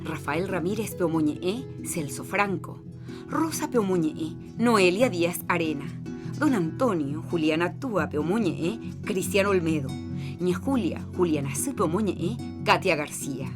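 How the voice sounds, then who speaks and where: A woman with a Paraguayan accent reading radio credits in a steady, formal way. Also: on the low side, measured pace. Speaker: credits announcer. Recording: studio voice over guitar music.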